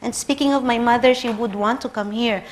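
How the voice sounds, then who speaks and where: A woman's voice: fairly high pitch, a little fast, slight background noise. A woman in her thirties with a Filipino accent, a native Tagalog speaker, giving a conference lecture and telling personal stories with light humor. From Philippines. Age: 30s.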